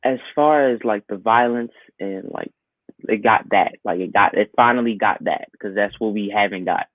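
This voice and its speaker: A man's voice: fairly low pitch, moderate pace, no room sound. A man co-hosting a pop culture podcast in casual, blunt, opinionated talk, heard through thin, phone-like audio. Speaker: podcast co-host. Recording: thin phone-like band-limited sound.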